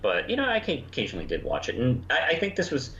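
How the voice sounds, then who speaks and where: A man, probably middle-aged, chatting casually as a podcast co-host reviewing cartoons, in a halting, hesitant way with frequent restarts. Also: medium pitch, huge pitch swings, fairly bright tone. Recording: roomy, muffled, noisy home recording.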